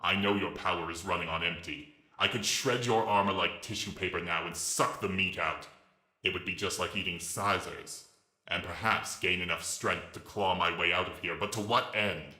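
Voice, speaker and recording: A man voicing a huge old scaly pterosaur monster in a dramatic reading, raspy and ragged, with slow, mocking, grandiose menace. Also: deep voice, pushed and bright, echoey room. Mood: somber, menacing. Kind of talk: dramatic reading of fan fiction.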